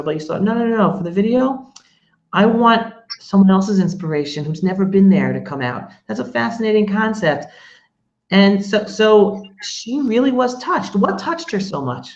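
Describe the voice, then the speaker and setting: A woman hosting an interview, talking in a lively, friendly way and joking about losing her place. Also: medium pitch. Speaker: interviewer host. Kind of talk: casual interview. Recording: dull lo-fi sound.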